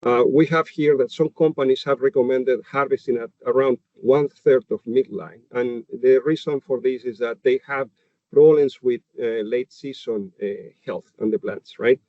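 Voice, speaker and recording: A mid-pitched man from Chile with a clear Chilean Spanish accent walks through a technical webinar on dull, dry audio. He hesitates often, restarting phrases as he explains each point. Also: fairly even intonation, moderate pace, no echo. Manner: hesitant, many fillers and restarts while explaining slides. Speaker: livestock nutritionist. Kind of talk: webinar presentation.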